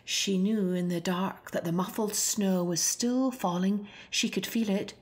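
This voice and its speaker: A woman reads a children's picture book aloud as a storyteller. Her voice sits at a middle pitch, and she delivers the tale expressively, as scripted narration for young listeners. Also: unhurried. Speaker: storybook reader.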